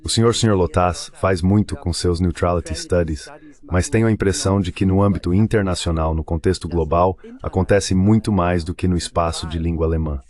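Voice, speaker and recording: A man giving a measured, explanatory voice-over translation of a guest on a discussion panel. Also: low-pitched, fairly even intonation, darkish tone.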